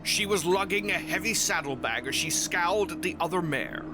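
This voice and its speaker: A male narrator reads a scripted story. His voice sits a little high for a man, and music plays clearly behind him. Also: lively intonation, moderate pace.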